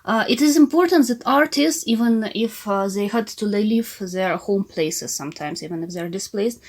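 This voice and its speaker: A woman's voice: mid-range voice, normal speed, darkish tone. A young adult woman from Ukraine with a Ukrainian accent, a native Russian speaker, speaking in a remote interview with frequent "uh" fillers and restarts. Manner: hesitant, many "uh" fillers and restarts. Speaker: guest, art historian and curator. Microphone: remote call.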